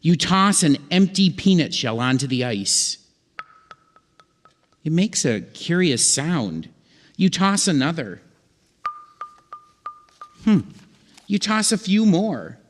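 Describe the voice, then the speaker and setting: Slow, deliberate male storyteller in a hall. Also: on the high side, very animated, faint music behind. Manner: deliberate, dramatic pauses. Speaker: narrator of a stage radio comedy sketch. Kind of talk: scripted second-person storytelling, old-time radio show. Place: live theatre stage. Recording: theatre hall reverb, faint noise.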